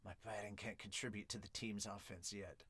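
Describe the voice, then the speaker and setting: Podcaster doing moody young baseball guy, quiet n low, regretful, beating himself up, manga dub. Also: little pitch movement, brisk, dry recording. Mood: brooding regret. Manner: low, subdued, self-reproachful. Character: brooding, regretful young male baseball player.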